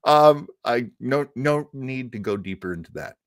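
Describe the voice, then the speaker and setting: A man's voice: medium pitch, animated, moderate pace, dry recording, clean studio sound. A man in his sixties hosting an online show with casual chat, rambling and enthusiastic in an effusive way. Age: 60s.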